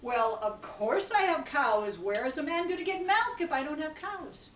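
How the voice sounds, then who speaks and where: A woman voicing an exasperated man in a folktale, pleading loudly with drawn-out, wailing complaints. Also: high voice, lively intonation. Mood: indignant. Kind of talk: oral folktale storytelling. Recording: distant mic, echoey room, dull, faint noise.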